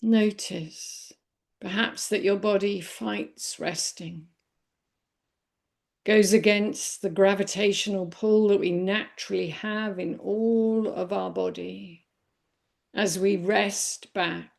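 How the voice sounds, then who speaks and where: A woman leading a guided body scan meditation in a gentle, unhurried manner with long pauses. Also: mid-range voice, fairly even intonation, creaky. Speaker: meditation teacher.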